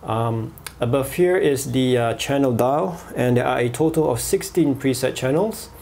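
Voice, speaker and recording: A man reviewing a walkie-talkie at a desk, pausing often with fillers and restarts. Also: medium pitch, vocal fry, darkish tone. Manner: halting, many fillers and restarts. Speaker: gadget reviewer. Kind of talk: product review voice-over.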